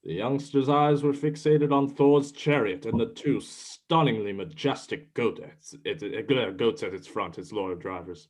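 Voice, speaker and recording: A man's voice: medium pitch, unhurried, hardly any pauses, a little mellow. A man with a mid-range voice serves as narrator. He reads scripted, dramatic prose in the manner of a mythic storyteller.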